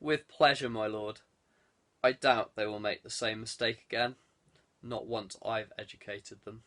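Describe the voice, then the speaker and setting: A feared male enforcer, acted out by an audiobook reader, speaking to his master with obedience. There is quiet menace in the way he talks. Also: lowish voice, fairly even intonation, a little slow, voice pushed down. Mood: menacing. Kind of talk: audiobook character dialogue.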